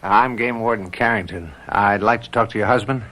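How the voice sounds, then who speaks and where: An actor playing a brisk, businesslike country game warden in an old-time radio drama, plain-spoken and matter-of-fact. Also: male voice, lowish voice, pushed and bright. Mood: brisk, official.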